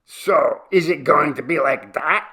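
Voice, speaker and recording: A man acts out an insistent male villager from a storybook, hurrying people along. The voice is very sharp and tense, swinging in pitch, with a gravelly edge. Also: very animated, slow, exaggerated voice. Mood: defiant. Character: urgent hushed male villager hurrying his companions along. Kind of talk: audiobook story acting.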